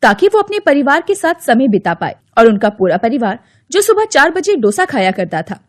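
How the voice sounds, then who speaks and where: Woman narrator, high voice, even storybook narration, cartoon moral story. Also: moderate pace.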